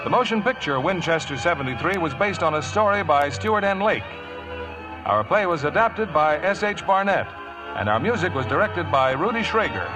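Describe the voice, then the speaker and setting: An American man working as a 1950s radio announcer, reading scripted copy with polished, measured enunciation. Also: fairly high pitch, very animated, rough recording. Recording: vintage radio broadcast with music. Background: music.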